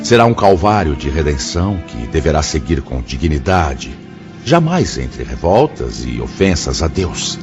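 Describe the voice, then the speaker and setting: A Brazilian actor playing a solemn, kindly spirit mentor in a radio drama, speaking in a measured, formal, sermon-like way. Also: male voice, a bass voice, very animated, exaggerated voice. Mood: solemn, exhorting. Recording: dull lo-fi audio with background music.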